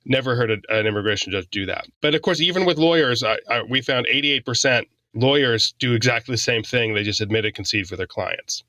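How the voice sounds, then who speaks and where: A man's voice: medium pitch, lively intonation, brisk, hardly any pauses, sharp tone, dry recording. A man speaking as a guest expert, explaining in a casual, conversational way. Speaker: law professor, podcast guest.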